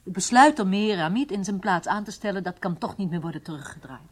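A woman plays a loyal intelligence office worker who has lost heart, in a radio play. Her mid-pitched voice rises and falls widely, wistful and emotional. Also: animated, fast talker, close to an everyday voice. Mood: dejected. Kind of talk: radio drama acting.

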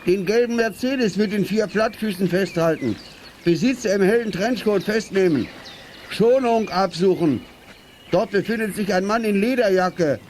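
An actor playing an authoritative, formal police officer in a children's radio play, brisk and firm. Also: male voice, sits high, darkish tone, slight background noise, natural voice. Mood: commanding.

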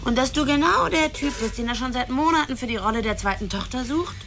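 Caustic, hard-bitten streetwalker, noisy background. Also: female voice, high-pitched, expressive, fast. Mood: caustic, goading. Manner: foul-mouthed, caustic, domineering. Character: hard-bitten, vengeful female Hollywood street prostitute. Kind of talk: radio drama acting. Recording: clear background ambience noise.

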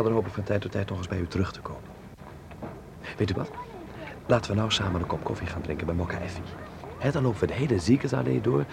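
Radio drama role: kindly young Dutch man, courteous and reflective, low voice with gravelly edge. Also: noisy background. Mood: consoling. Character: earnest, kindly young Dutch filmmaker living in 1930s Berlin. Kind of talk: radio drama acting with letter narration.

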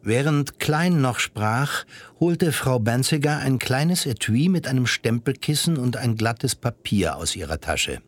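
A man's voice: medium pitch, lively intonation, a little slow, few pauses, no echo. Dry, close male drama narrator. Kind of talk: scripted audio drama narration.

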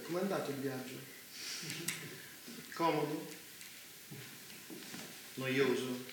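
A man's voice: medium pitch, slow pace, lightly acted. An actor playing an urbane, evasive man of power behind a mass killing, polite yet domineering in a theatre dialogue. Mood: courteous, controlling. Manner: polite but domineering, precise, abruptly probing. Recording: very echoey room, faint noise.

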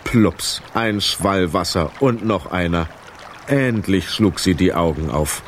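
A man narrating a children's radio play as an expressive storyteller. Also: low voice, slow pace, slight background noise.

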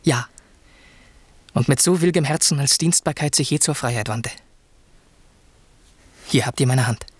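Man playing a lovestruck young prince, husky and lofty. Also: mid-range voice, moderate pace, long pauses, no echo, close to an everyday voice. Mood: fervent. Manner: lofty, ornate declamation. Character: lovestruck young prince toiling as a humble servant, devoted. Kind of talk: classical radio drama verse acting.

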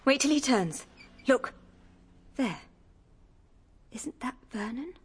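A gentle lady in a radio drama, anxious and emotional. Her voice is high and breathy, and she speaks slowly and hesitantly with swooping intonation. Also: animated, exaggerated voice.

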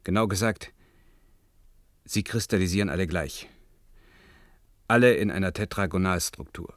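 An actor in a science-fiction radio play, playing an earnest, agitated amateur crystallographer on a submarine who explains things hesitantly yet insistently. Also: male voice, low-pitched, normal speed, no echo, clean studio sound, natural voice. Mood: urgent, insistent.

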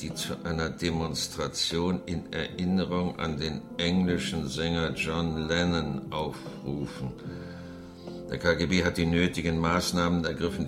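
A man's voice: deep voice, lightly acted. An actor in a German radio drama playing a stern, contemptuous Soviet secret police chairman with a pompous manner. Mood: official, clipped.

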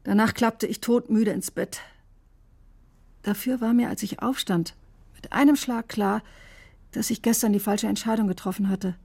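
A conscience-stricken woman doctor in a radio drama. Her voice is mellow and relaxed in tone, with a husky edge. She recounts events precisely and clinically, guarded and defensive. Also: medium pitch, moderate pace, pauses often. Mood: matter-of-fact. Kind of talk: German radio drama acting, testimony-like narration.

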